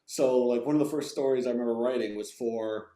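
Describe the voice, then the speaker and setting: A man with a blended Bronx and New Jersey accent talks as a guest in a casual interview. His tone is mellow and on the dark side, and he rambles with some self-deprecation. The room echoes noticeably around him. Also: mid-range voice, a little fast. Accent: mixed Bronx and New Jersey. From New Jersey and Florida, United States. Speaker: interview guest, video game and TV writer. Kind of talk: casual chat. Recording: roomy, echoing sound.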